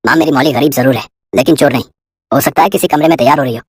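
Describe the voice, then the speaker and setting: A man playing a kind young businessman husband in a moral story, earnest and gentle. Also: medium pitch, rapid-fire, husky, no echo, clean studio sound, lightly acted. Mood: defending. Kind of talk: acted dialogue in a moral story. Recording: loud music over the voice.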